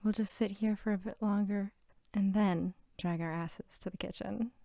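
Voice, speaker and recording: A woman playing a sweet, bookish, playful archivist girlfriend in an intimate ASMR roleplay, soft-spoken and teasing. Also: mid-range voice, fairly even intonation, normal speed, creaky, no echo, studio quality, natural voice. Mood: relaxed, tender. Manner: soft-spoken, often near whisper, giggly, teasing.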